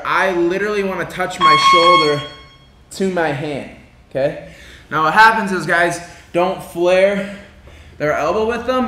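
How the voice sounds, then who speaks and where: A man's voice: high voice, a bit bright. Echoey, laid-back male martial arts coach. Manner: laid-back, informal, slangy, checks in often. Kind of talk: casual hands-on technique demonstration. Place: indoor gym with mats and padded walls. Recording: echoey room with background noise.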